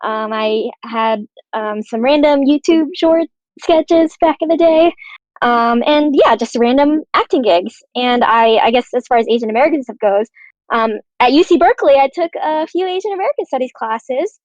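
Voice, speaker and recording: High-voiced woman chatting on a dull mic. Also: moderate pace, darkish tone, no room sound. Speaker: writer and actor, panel guest. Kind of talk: casual self-introduction. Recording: dull, dry lo-fi microphone.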